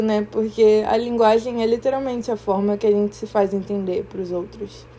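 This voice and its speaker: A young woman with a Brazilian Portuguese accent, hosting a podcast in a reflective personal monologue, thoughtful and often pausing to search for the right word. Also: a little high, dark tone, slight background noise. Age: young adult. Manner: thoughtful, searching for words, pauses and restarts. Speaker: podcast host.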